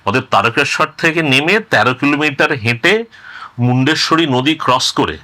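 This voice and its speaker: A man's voice: medium pitch, normal speed, bright, forceful tone. A man in his fifties with a West Bengali accent, interviewing casually, asking and clarifying, with the odd English phrase. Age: 50s. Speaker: interviewer, son of the speaker.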